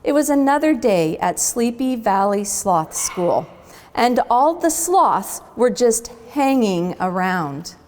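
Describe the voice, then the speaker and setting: Unhurried, higher-voiced woman in echoing space. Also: big pitch swings, a little mellow. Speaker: zoo educator reading a storybook aloud. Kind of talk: children's storytime reading. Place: animal barn at a zoo. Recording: long echoing hall reverb.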